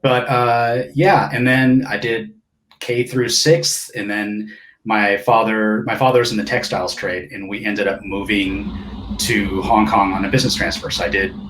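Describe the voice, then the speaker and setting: A man in his forties who grew up speaking Japanese, telling stories of his past in a relaxed, rambling way as a guest on an interview show. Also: fairly low pitch, a little slow, poor audio. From California, United States. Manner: relaxed, rambling storyteller with many restarts. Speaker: guest percussionist. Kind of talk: casual interview chat.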